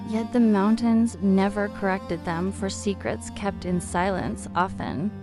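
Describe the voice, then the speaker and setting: Female sleep-story narrator, medium pitch, calm meditative delivery, gentle pacing of phrases, set over music. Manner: calm, soothing, unhurried. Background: background music.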